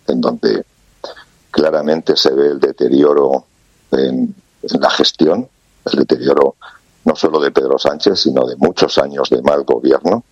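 Spanish guy talking over a dull lo-fi line, super deep and dry, earnest, lots of restarts. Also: a little mellow, no room sound. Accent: Spanish (Spain). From Spain. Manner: earnest, restarts phrases with fillers. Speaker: guest commentator. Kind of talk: remote interview commentary.

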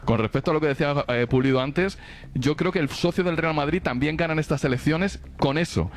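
A man's voice: a little high, brisk, slight background noise. A man with a Castilian Spanish accent, a sports journalist talking colloquially in an unscripted football debate. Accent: Castilian Spanish from Spain.

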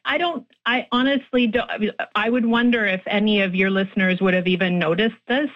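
Thoughtful woman linguist, slightly muffled. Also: mid-range voice. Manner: thoughtful, many restarts and fillers, laughs easily. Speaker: linguist guest. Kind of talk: radio interview, explaining research.

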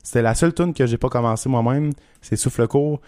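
Male Québécois singer-songwriter, mid-pitched, informal stop-and-start delivery, dry studio-like sound. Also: a little mellow, smooth, dry recording. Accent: Québécois French. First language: French. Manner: informal, halting, repeats words. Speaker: country singer-songwriter being interviewed. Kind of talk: casual interview chat.

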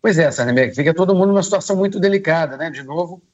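Male radio commentator, hoarse with a cold, hesitant and measured, slightly higher voice, dull phone-in sound. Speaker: political commentator.

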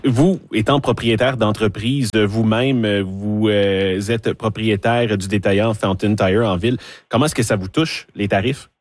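A male radio host asks his questions in a measured, steady way, pausing often. His voice is close and dry, with almost no room sound around it. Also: fairly low pitch, dry recording. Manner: measured, frequent hesitations. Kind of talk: radio interview. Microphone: studio microphone.

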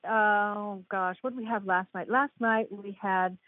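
A woman's voice: medium pitch, steady tone, a little slow, dry recording. A woman guest author chatting emphatically in an interview, retelling exchanges with animation. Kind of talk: casual interview talk. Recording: tinny phone-like line, dry.

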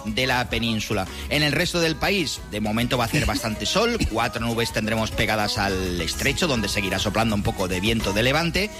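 A man's voice: lowish voice, animated, a little fast. A man with a Spain Spanish accent giving a live radio weather report in a colloquial, playful way. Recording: music bed under the voice. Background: music.

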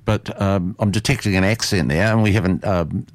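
NZ-accented male host, 60s, very low pitch, rambling hesitant delivery. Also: normal speed, no room sound. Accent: New Zealand. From New Zealand. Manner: rambling, hesitant questions full of fillers. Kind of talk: panel discussion.

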